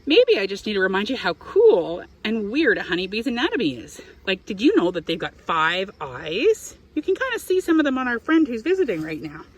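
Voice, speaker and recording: A woman's voice: mid-range voice, huge pitch swings, a little fast, few pauses, dull audio. A woman reading a picture book aloud to young children, expressively, with explanatory asides. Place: outdoors in an apiary.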